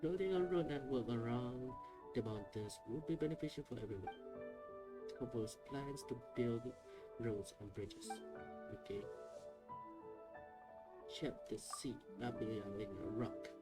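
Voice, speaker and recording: A man reads on-screen game text aloud in a plain mid-pitched voice, halting and restarting as he trips over names. Game music plays clearly underneath him. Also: rough recording. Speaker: gameplay video uploader.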